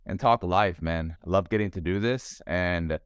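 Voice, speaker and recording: A man's voice: low-pitched, normal speed, clean tone, no room sound. A young man, a realtor born in Thailand and raised in the US, chatting casually as a podcast guest on a video call, tossing in "man" and "bro" a lot. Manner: relaxed, chatty, says "man" and "bro" often.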